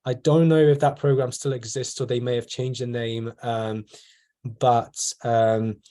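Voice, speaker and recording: A man in his twenties from Poland, a medical student mentoring on a video call panel, speaking in a measured way with frequent ums and you-knows. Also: mid-range voice, level tone, warm tone. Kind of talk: online panel talk.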